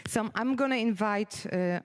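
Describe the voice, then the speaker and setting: A woman's voice: medium pitch, normal speed. A woman formally introducing sessions and speakers from a conference stage as host.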